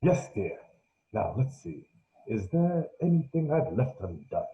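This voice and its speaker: A man voicing a worried older police commissioner with a gentle, fretful manner, in a roomy echoey room. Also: medium pitch, big pitch swings, a little slow, highs cut off.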